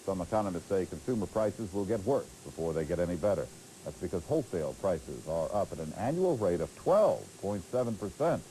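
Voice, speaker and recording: A man's voice: low-pitched, lively intonation, brisk, dark tone, rough recording. A middle-aged man anchoring television news from a script, on a hissy old tape. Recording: hissy worn VHS broadcast tape.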